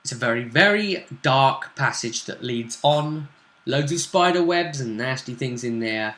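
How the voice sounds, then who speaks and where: Bright-voiced British man in an echoey room. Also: medium pitch, expressive, a little slow. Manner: conversational, explanatory. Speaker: game master. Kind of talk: casual recap of a tabletop role-playing session.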